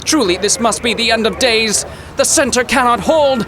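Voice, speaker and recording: An actor playing a pompous, easily flustered professor from the 1930s in a comic audio drama, with formal, old-fashioned diction. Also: male voice, very high voice, moderate pace, sharp tone, slight background noise. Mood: panicked. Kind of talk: period audio drama comedy acting.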